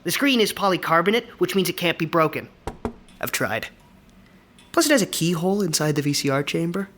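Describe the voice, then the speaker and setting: An actress playing a driven, stubborn, eager sibling in an audio drama, wry and insistent. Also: female voice, on the low side, sing-song, a little fast, a bit bright, a little hiss or hum. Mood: confident. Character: driven, stubborn, eager sibling chasing a late father's research.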